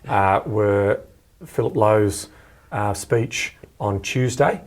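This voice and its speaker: A man's voice: fairly low pitch, very slow. An Australian man hosting a podcast, chatting casually and informally with a guest about economics. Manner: informal, colloquial. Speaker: podcast host.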